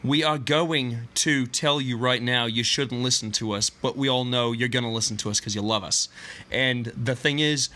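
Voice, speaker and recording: A man's voice: mid-range voice, normal speed, sharp tone. A man podcast co-host with a put-on Australian accent and a cough, rambling and joking with restarts in comedic banter. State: has a cough.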